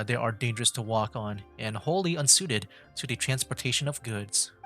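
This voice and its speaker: A man's voice: mid-range voice, fairly bright tone. A male streamer talking over his game in a mid-range voice, rambling, stopping to correct himself and laughing at his own slips. He reads screen text aloud in between. Faint game music plays behind him. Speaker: streamer playing a story game. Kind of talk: casual game commentary and reading aloud.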